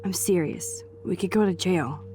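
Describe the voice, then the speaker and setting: A young American woman playing an earnest, cautious teenage girl in a video game, speaking softly. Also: fairly low pitch, moderate pace, soft background music, close to an everyday voice. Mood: worried. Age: young adult.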